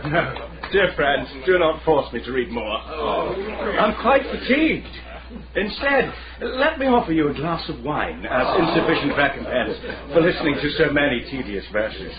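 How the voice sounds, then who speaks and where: An actor playing a pompous, effusive amateur poet with a florid, theatrical manner in a radio drama. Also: male voice, on the high side. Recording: muffled radio play with clear crowd behind.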